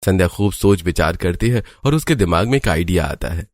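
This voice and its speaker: A man's voice: low voice, lively intonation, fairly quick, darkish tone, dry recording. A man narrating a scripted cartoon storybook tale as the narrator.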